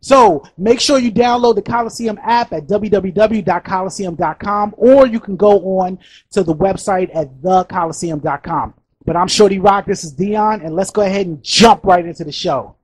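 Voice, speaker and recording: A man's voice: sits high, normal speed, husky. A man hosting a podcast interview, teasing and joking in a loose, casual way. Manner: teasing, keeps saying "you know what I'm saying". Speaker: podcast host.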